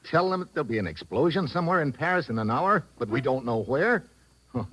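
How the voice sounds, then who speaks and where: Hard-boiled American adventurer. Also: male voice, mid-range voice, very animated, quick pace, a bit bright, poor audio. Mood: insistent. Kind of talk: old radio drama acting.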